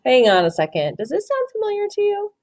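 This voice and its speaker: A woman doing an interactive picture-book read-aloud for children, expressive and often asking questions. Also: high-pitched. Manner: expressive, asks questions and pauses for answers. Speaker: storytime reader, teacher.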